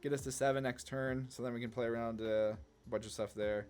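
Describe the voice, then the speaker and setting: A man with a mid-pitched voice talks casually through a game as he plays. He rambles informally, reasoning out each move aloud. Music plays behind him. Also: fairly even intonation, fairly quick, darkish tone, clear, resonant tone. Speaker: gaming content creator, player. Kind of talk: casual gameplay commentary, thinking aloud.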